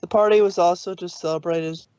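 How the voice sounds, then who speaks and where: A woman's voice: lowish voice, fairly even intonation, fast talker, mellow, smooth, no room sound. Woman reading fan fiction, muffled dry mic. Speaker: fan fiction reader and host. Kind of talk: narrated story reading and casual chat. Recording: dull, dry lo-fi microphone.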